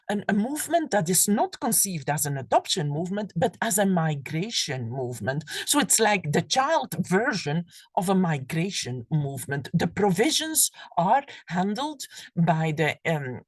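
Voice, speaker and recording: A woman lecturing on an academic subject, her voice pitched on the low side with a soft, breathy edge. She speaks thoughtfully and restarts phrases as she reaches for the right word. The sound is dry, with almost no room around it. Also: big pitch swings, normal speed, no room sound. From Belgium. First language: Flemish. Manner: thoughtful, restarts phrases while searching for words. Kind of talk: university lecture.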